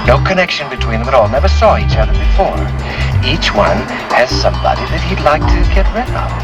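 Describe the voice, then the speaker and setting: A man's voice: mid-range voice, very animated, a little fast, muffled recording, close to an everyday voice. An actor playing an unsettling male schemer with a familiar, insinuating, menacing manner, in a mid-century suspense film style. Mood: eager, insinuating. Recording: old film soundtrack, loud music.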